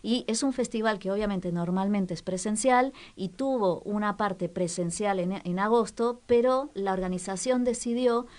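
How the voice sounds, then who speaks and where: A woman presenting cultural recommendations on the radio in a conversational, halting way, repeating words for emphasis. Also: medium pitch, a little mellow.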